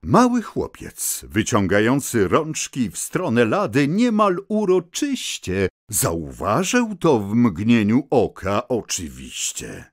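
Hugely animated male storyteller. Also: on the high side, no echo, studio quality. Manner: theatrical, expressive storytelling. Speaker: narrator. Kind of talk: scripted children's story narration. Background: music.

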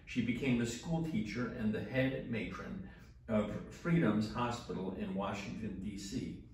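A man's voice: lowish voice, unhurried, darkish tone, a little hiss or hum. An older man, a rector, leading morning prayer and reading steadily from a book in a small church chapel, heard from a camera some distance away. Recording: very echoey room, voice from afar.

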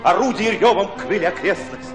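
Radio drama narrator: thoughtful male commissar, tense literary delivery, voice a touch high. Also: lively intonation, normal speed, music in the background. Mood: dramatic, urgent. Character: thoughtful, watchful Red Army commissar narrating battle.

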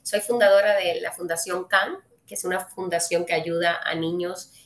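A woman with a Cuban Spanish accent hosts a live online trading webinar, greeting her viewers warmly and speaking straight to them. Her voice sits fairly low, and she fills her pauses with frequent "eh"s. The sound has a noticeable echo from the room around her computer mic. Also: fairly even intonation. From Cuba. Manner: friendly, addresses viewers directly, frequent "eh" fillers.

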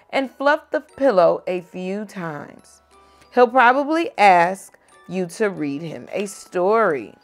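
A young woman reading a picture book aloud to a child at home, gentle and expressive. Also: medium pitch. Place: home bedroom, indoors.

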